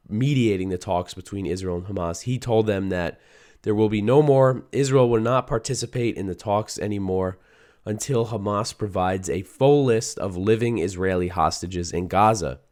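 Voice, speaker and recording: Guy doing a news rundown with a shot hoarse voice, kinda low, talks it through casually. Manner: conversational, reads quotes aloud, some restarts. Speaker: news show host. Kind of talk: solo news commentary.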